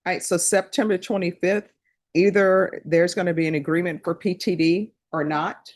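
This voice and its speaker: A woman judge running a courtroom docket, brisk, procedural and polite as she sets dates. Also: on the low side, fairly even intonation, darkish tone.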